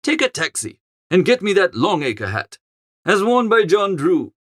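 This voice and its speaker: Man acting a high-voiced, excitable young English toff. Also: a little slow, no room sound, full character voice. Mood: decisive. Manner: exclamatory, foppish. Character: breezy, excitable young English upper-class gentleman. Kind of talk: audiobook character acting.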